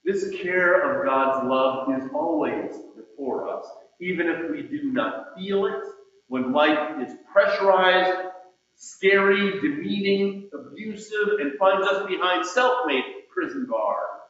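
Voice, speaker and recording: A man delivering a sermon in measured, emphatic phrases with deliberate pauses, reading out scripture and quotations as he goes. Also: high-pitched, a bit bright. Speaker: preacher. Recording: muffled, very echoey hall with long reverb.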